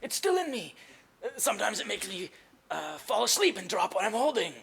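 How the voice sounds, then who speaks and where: A man on stage playing a wounded, wary, vengeful exiled Greek archer with a halting, blunt delivery. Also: high voice, very animated, gravelly, fairly bright tone, pitched above the performer's own voice, exaggerated voice. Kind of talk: stage play acting.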